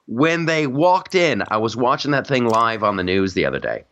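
A man's voice: mid-range voice, expressive, normal speed, fairly bright tone, muffled recording. A man hosting a podcast, chatting casually and jokily, rambling with frequent restarts. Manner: jokey, rambling, many restarts. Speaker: podcast host. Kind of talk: casual political chat.

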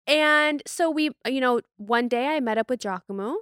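A young American woman hosting a podcast, chatting and telling personal stories in an informal, animated way. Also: sits high, normal speed, clean tone, dry recording, studio quality. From United States. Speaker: podcast host. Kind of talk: casual chat and personal storytelling.

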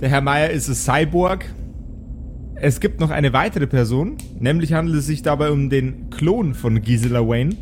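A man's voice: on the high side, moderate pace. A man narrating as game master in an improvised tabletop audio play, feeling his way through descriptions over a noisy recording. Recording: clearly noisy background.

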